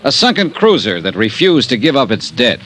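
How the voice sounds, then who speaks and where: A male actor plays a wry insurance investigator in a vintage radio detective show. His delivery is clipped and skeptical, with animated, mid-pitched inflection. Music is heard in the old broadcast recording. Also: a little fast, natural voice. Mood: businesslike. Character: wry, self-assured freelance insurance investigator narrating his case. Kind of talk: 1950s radio detective acting.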